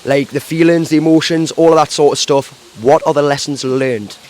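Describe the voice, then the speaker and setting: A man interviewing players for a fan channel pitchside at an outdoor stadium, asking enthusiastic, rambling questions. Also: normal speed, darkish tone. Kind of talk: post-match interview.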